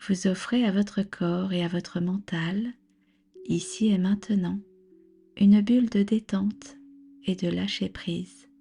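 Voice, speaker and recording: A woman guiding a relaxation meditation as a yoga nidra instructor, with a soft, soothing, breathy delivery and measured, gentle instructions. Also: medium pitch, steady tone, soft background music.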